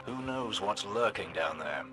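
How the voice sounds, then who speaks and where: Low-voiced, genteel British militia veteran. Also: male voice, lively intonation, measured pace, loud music behind the voice. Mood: calm, helpful. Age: middle-aged. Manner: polite, droll, old-fashioned phrasing. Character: genteel older male militia sniper, courteous and wry. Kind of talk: video game character acting.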